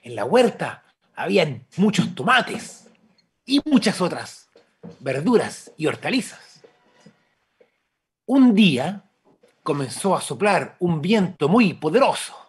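A man with a Chilean accent and a husky voice, improvising a story as narrator on a video call. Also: fairly high pitch, very animated, takes it slowly, pauses often, faint music behind. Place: indoors at home, on a video call.

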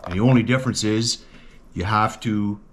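Deep-voiced man explaining a workbench project. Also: normal speed, a little hiss or hum. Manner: plain, matter-of-fact explaining with fillers. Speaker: outdoors channel host. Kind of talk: casual how-to demonstration. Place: indoors at a workbench.

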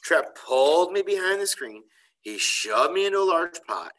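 A man's voice: on the high side, sing-song, moderate pace, fairly bright tone. A man in his forties, a schoolteacher, reading a children's storybook aloud with expression. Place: bright indoor school room. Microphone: computer webcam on a video call.

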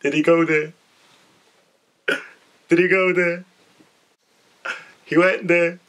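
A hoarse young man chatting excitedly in a small bedroom while reacting to a song, talking into a webcam with lots of "like" and quick giggles. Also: a little high. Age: young adult. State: hoarse, voice strained from screaming. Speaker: music reaction vlogger. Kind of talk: casual reaction chat. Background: song playing quietly.

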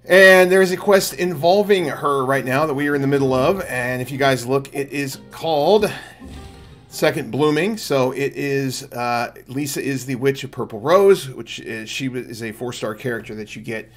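Male game streamer, middle-aged. Conversational and explanatory, mid-range voice, recorded at home over soft game music. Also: medium pitch, moderate pace. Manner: chatty, explanatory, addressing viewers. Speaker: game streamer, channel host. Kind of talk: casual livestream gameplay commentary. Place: indoor room at home.

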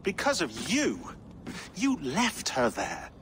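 A man's voice: a little high, wildly swooping pitch, slow pace, noisy background, full character voice. An actor playing a dry, pedantic man historian who talks in a clipped, matter-of-fact way, in a video game cutscene.

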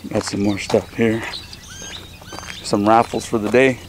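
A man organizing a youth softball clinic, talking casually to his handheld camera outdoors in a loose, upbeat way with plenty of fillers. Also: fairly low pitch, flat delivery, unhurried, background noise. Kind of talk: casual vlog narration to camera.

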